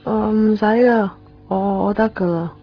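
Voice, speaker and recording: A woman's voice: medium pitch, steady tone, unhurried, no echo. A woman playing a timid, apologetic young cleaning girl in a Cantonese radio drama, with a Hong Kong accent. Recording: dull 1990s radio recording, faint music.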